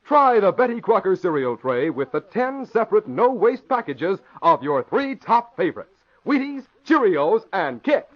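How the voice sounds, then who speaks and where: Casting: male 1940s radio announcer, high-pitched, lively swooping intonation, polished sales delivery. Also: moderate pace. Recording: dull vintage radio broadcast recording.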